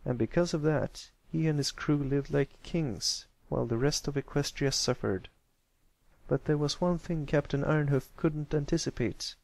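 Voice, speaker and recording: A man's voice: a little high, normal speed, vocal fry, a little mellow. A man voicing a pony who tells a grand pirate yarn in a dramatic storybook style.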